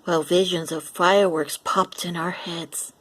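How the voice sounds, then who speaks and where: A woman reading a picture book aloud for young children, animated and deliberate, acting out the lines as she goes. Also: lowish voice, low-quality recording. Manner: animated, deliberate, acts out lines and sound effects. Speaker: children's story reader.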